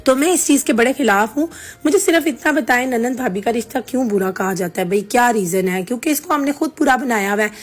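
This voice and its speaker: Woman, hoarse throat, somewhat high voice, chatty, earnest, vlog to camera. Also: quick pace, soft background music. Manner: chatty and earnest, addressing viewers directly. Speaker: vlogger. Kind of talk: casual talk to camera, giving opinions.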